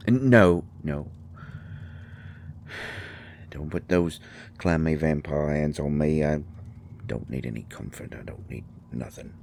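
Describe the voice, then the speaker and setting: Gruff folksy male character, acted by a man, bass-low and slow, stammering, for intimate roleplay audio drama. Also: darkish tone, full character voice. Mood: defensive, glum. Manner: halting, stammering, folksy colloquial speech. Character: gruff, folksy, flustered man comforting a vampire guest.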